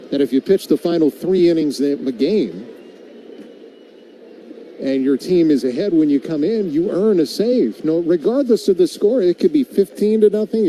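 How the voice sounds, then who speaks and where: A man calling a baseball game as a radio play-by-play announcer, describing each pitch and filling in between with commentary. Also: dark tone, a little hiss or hum, poor audio.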